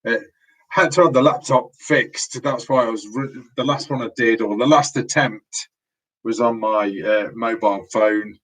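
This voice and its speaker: A man's voice: medium pitch, steady tone, normal speed, fairly bright tone, breathy edge, rough recording. A man hosting a casual live stream from his room at home, losing his voice, rambling and restarting his sentences, heard through a laptop mic. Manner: rambling, restarts often, chatty.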